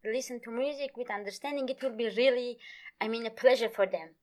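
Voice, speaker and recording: Woman, Kurmanji, guest on an interview; slightly high voice, halting, lots of "I mean". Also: a little mellow. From Turkey. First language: Kurmanji Kurdish. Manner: halting, searching for words, many "I mean"s. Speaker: guest, Kurdish language teacher. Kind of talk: casual interview conversation.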